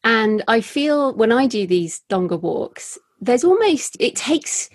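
A woman's voice: fairly high pitch, sing-song, slow, dry recording, studio quality. A woman from England hosting a casual interview, asking curious questions in a chatty, affirming way.

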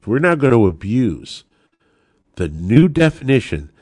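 A man delivers opinionated commentary as a podcast host, his pitch swinging widely as he drives points home. He sounds indignant and insistent, asking rhetorical questions and repeating key phrases. The sound is dry and close, with very little room in it. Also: sing-song, a little slow, a little mellow, no echo. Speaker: host of a gun-rights podcast. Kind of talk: opinionated political commentary, addressed straight to listeners.

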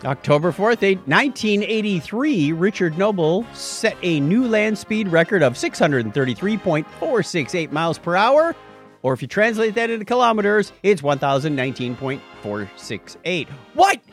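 A man's voice: sits high, sing-song, normal speed, soft background music. A man co-hosting a podcast in casual, comedic banter, with a joking, playful manner. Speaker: podcast co-host.

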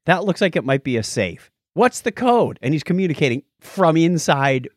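Animated, stammering man chatting on a podcast. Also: fairly high pitch, moderate pace, no room sound, clean studio sound. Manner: animated, stammering, restarts phrases. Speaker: podcast co-host. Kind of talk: casual podcast chat.

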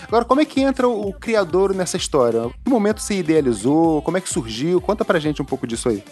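A man's voice: sits high, big pitch swings, quick pace, over music. A man hosting a casual interview, mostly giving short agreeing replies and asking playful questions. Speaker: interviewer host.

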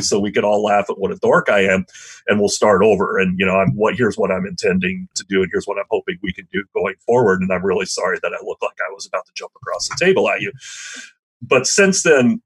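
A middle-aged man, a history teacher, answering an interview question in a loud voice, thoughtful and self-deprecating, with frequent restarts. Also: on the low side, slightly rough, low-quality recording.

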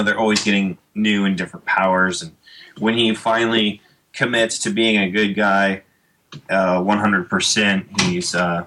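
A man's voice: low voice, pushed and bright, a little hiss or hum. A man in his late thirties, a comic book creator chatting casually as a podcast guest, rambling with many fillers. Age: 30s.

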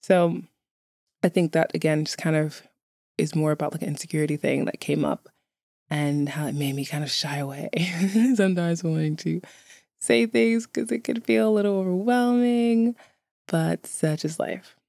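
A woman's voice: low-pitched, normal speed, gravelly, darkish tone, no room sound, clean studio sound. A woman who is a native English speaker, chatting casually to viewers as a vlogger in a wry, candid, self-deprecating way.

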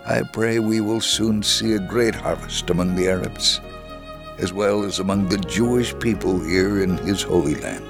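A man reading a scripted first-person devotional narration in a measured, earnest, deliberate manner. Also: fairly low pitch, gravelly, over music. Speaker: reader of a devotional testimony.

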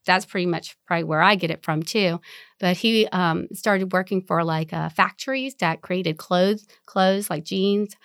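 The woman has a lowish voice and talks candidly through her story, laughing easily. She is from Vietnam and in her forties. The sound is close and dry. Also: measured pace, a bit bright, smooth, dry recording. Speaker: interviewee. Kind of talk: oral history interview.

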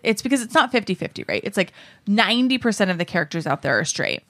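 A woman co-hosting a podcast, animated and opinionated in casual chat. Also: medium pitch, quick pace, no room sound. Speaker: podcast co-host.

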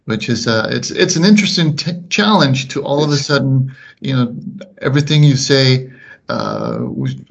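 A middle-aged man whose first language is Danish speaks English with a slight Kansas drawl, talking slowly and deliberately with many restarts in a casual interview. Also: medium pitch, gravelly. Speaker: guest, officiating coordinator. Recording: slightly muffled podcast audio.

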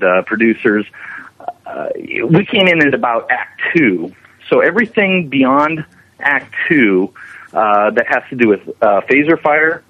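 A man being interviewed as a special effects animator, explaining his work conversationally with frequent restarts. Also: on the low side. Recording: narrow, tinny phone-like line.

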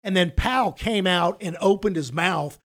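A man's voice: sits high, normal speed, fairly bright tone. A man hosting a casual finance podcast, talking loosely and bluntly as he sets up the topic and hands over to his co-host.

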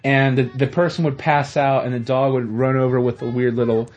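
Husky jokey podcast host man. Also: medium pitch, little pitch movement, brisk, darkish tone, highs cut off. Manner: rambling, jokey, storytelling with asides. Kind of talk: casual chat.

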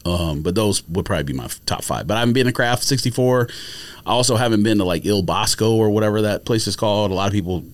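A man's voice: lowish voice, fast talker. A man in casual podcast conversation, explaining things and giving his opinions in a conversational, explanatory way. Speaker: podcast panelist. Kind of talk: casual food chat. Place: podcast studio.

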